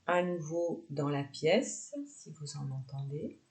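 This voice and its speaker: A woman leading a guided yoga nidra relaxation, giving unhurried, soft instructions with pauses between phrases. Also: deep voice, fairly even intonation.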